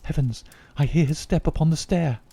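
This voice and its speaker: A man's voice: a little high, normal speed, gravelly, mellow, breathy edge, dry recording, pitched above the performer's own voice. A man voicing an anxious young noblewoman who speaks in a breathless, fearful way.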